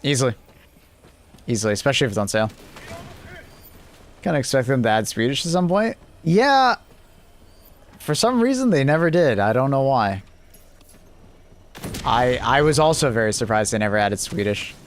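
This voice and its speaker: A man's voice: mid-range voice, animated, moderate pace, pauses often, a little hiss or hum. A young man hosting a gaming livestream, chatting casually and rambling with lots of restarts. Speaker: livestream host. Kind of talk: casual chat while gaming.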